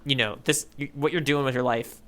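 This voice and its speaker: Bright-voiced man, playful podcast co-host. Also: medium pitch, steady tone, a little fast. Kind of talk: casual manga discussion banter.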